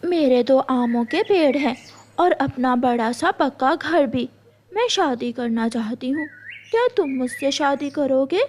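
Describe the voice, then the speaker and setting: A woman voices a bold cartoon bird who schemes and gossips. Her talk is forward and insinuating, slow-paced and very high. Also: lively intonation, a little mellow, a little hiss or hum. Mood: forward, persuasive. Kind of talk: Hindi cartoon story acting.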